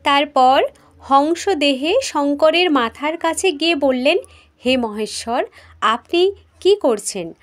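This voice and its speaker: A woman's voice: high-pitched, lively intonation, slow. A woman narrating a scripted mythological tale as a storyteller.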